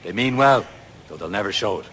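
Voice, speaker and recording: An actor playing a loyal, supportive first mate, friendly in manner, in a video game. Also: male voice, on the low side, lively intonation, fairly quick, fairly bright tone, a little hiss or hum. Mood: encouraging. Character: loyal, encouraging male first mate and comrade. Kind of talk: video game cutscene acting.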